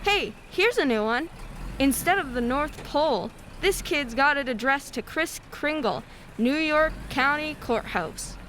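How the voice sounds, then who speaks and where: A woman's voice: high-pitched, lively intonation, unhurried, fairly bright tone. A woman playing a brash, folksy male city postal worker in an amateur radio play, slangy and breezy. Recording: faint background noise.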